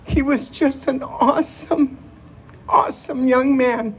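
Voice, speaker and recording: A woman's voice: high voice, steady tone, slow, husky, rough recording. A woman speaking at a memorial as the deceased's aunt, heartfelt and emotional, at times close to tears. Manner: heartfelt, tearful at times, with laughs. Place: memorial gathering.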